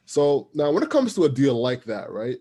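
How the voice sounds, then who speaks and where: A man's voice: medium pitch, wildly swooping pitch, moderate pace, mellow. A man hosting a podcast interview, asking long questions in casual slang. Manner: casual slang, enthusiastic reactions. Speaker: podcast host.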